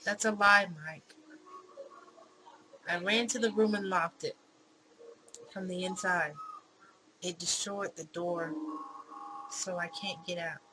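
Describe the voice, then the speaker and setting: A woman's voice: fairly low pitch, steady tone, a little slow, long pauses. A woman hosting a gaming video, narrating a visual novel dramatically and commenting casually. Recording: clearly noisy background.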